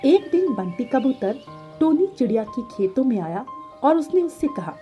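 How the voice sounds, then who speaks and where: A woman reading scripted narration for an animated children's story. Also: high-pitched, normal speed, highs cut off, soft background music.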